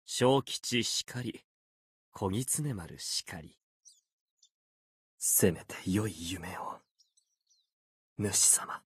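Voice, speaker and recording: A man's voice: lowish voice, expressive, very slow, long pauses, breathy edge, dry recording, studio quality, heavily acted. A man voicing a proud, playful fox-spirit swordsman in a video game, speaking in polite, archaic, theatrical phrases. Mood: soft, leisurely. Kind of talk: Japanese video game character voice lines.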